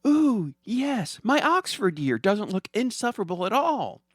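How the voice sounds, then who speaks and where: An earnest, worried daughter in a comic audio tale, performed by a male narrator. Her voice is pitched high and animated, with a questioning manner. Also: measured pace, husky, no echo, studio quality, full character voice. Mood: excited. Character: curious, worried daughter at home with her mother.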